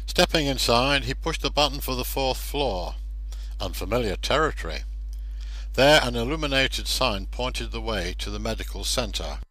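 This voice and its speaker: A man narrating a radio drama in a measured, literary storytelling manner. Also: medium pitch, lively intonation, fairly bright tone, poor audio.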